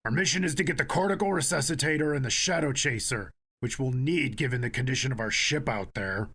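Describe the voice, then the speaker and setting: A man voicing a stern, commanding military officer with a gravelly rasp in a space audio drama. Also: medium pitch, fairly quick, fairly bright tone. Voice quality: raspy, gravelly. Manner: commanding, clipped, formal.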